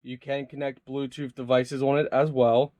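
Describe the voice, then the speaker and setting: A man's voice: mid-range voice, flat delivery, normal speed, mellow, highs cut off, slight background noise. A man doing a casual, upbeat hands-on product review, chatting to his viewers as he tries things out.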